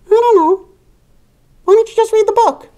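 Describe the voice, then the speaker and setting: A man voicing a small, cheeky toad puppet with a squeaky, impatient way of talking. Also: normal speed, dark tone. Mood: snippy.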